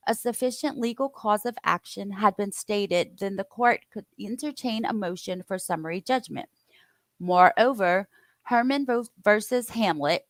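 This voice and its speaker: A woman hosting a casual online show, reading court filings aloud in a steady, careful way with side comments. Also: medium pitch, no echo. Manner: steady, careful reading with occasional stumbles and asides. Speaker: host reading court documents.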